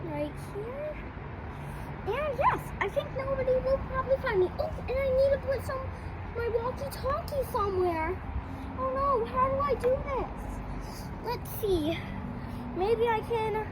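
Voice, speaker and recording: A child improvising narration for doll play, thinking out loud while exploring. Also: extremely high pitch. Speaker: doll-play video performer. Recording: very noisy handheld outdoor recording.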